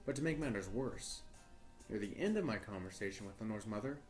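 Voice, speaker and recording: A man playing an earnest, troubled journalist, narrating a horror audio drama in a deliberate, formal storytelling way. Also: fairly low pitch, expressive, soft background music, natural voice. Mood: grave, earnest. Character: earnest, troubled male journalist recording his search for missing partner. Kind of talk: first-person horror audio drama monologue.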